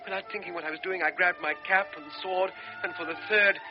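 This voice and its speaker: An actor playing a guilt-ridden young lieutenant. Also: male voice, sits high, fairly even intonation, quick pace, music in the background, close to an everyday voice. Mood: anguished. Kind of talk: 1960s radio drama acting. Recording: dull lo-fi radio broadcast.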